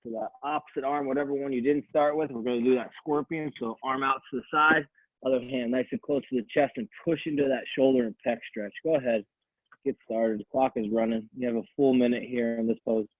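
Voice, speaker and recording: A man coaching an at-home stretching class, calmly counting down holds and talking in a soothing voice. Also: medium pitch, a little fast, no room sound. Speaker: fitness coach. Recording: tinny, dry phone-like audio.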